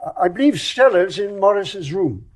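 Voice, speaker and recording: An actor playing a courteous, cautious English major in a period radio drama, hesitant and politely measured, with slight stammers. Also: male voice, high voice, expressive. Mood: grave. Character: courteous, cautious retired-officer gentleman, gently reasoning family friend. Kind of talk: 1920s stage play as radio drama.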